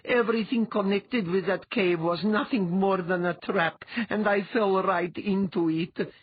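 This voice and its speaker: Man voicing an intense young seer woman, high and husky. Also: animated, a little slow, no room sound, phone-quality sound, full character voice. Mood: distraught.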